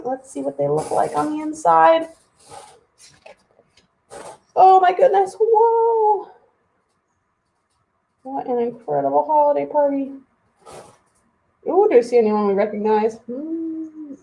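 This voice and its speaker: Female storybook reader for young kids, soft mellow tone, expressive delivery with direct chatty asides. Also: sits high, gravelly, reverberant, low-quality recording. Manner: animated, talks directly to young children. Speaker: children's story hour host.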